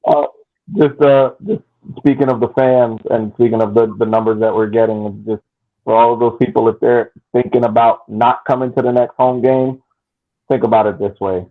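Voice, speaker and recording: A man's voice: medium pitch, fairly even intonation, moderate pace. A man talking emphatically in a casual podcast chat, repeating his points for weight. His voice comes through thin and tinny, like over a phone line.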